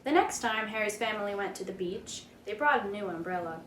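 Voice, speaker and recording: Animated woman reading a children's storybook. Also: medium pitch, brisk. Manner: animated, dramatic read-aloud delivery. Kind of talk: expressive storybook narration for children. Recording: roomy echo, background noise.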